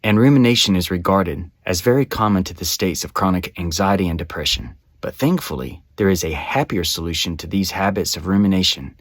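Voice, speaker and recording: A man narrating a scripted guided meditation as a guide, with measured, soothing, instructional phrasing. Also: low-pitched, animated, no room sound.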